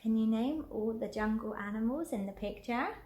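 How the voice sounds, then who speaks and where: A woman reading a children's picture book aloud expressively and deliberately, pausing to put questions to young listeners. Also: a little high, a little mellow, echoey room, muffled recording. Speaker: children's storybook reader.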